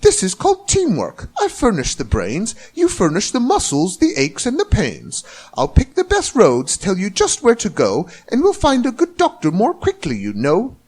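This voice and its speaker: A man voicing a bossy, smooth-talking older chap in a rhyming children's storybook, grandly reassuring and persuasive. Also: high-pitched, wildly swooping pitch, normal speed, darkish tone, voice pushed up, exaggerated voice. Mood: smug, bossy.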